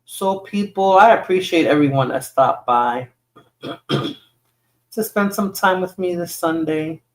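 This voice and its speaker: A woman's voice: on the low side, lively intonation, takes it slowly. A woman with a New York accent, Spanish as her first language, hosting a casual livestream and rambling through replies to viewer comments. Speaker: livestream host.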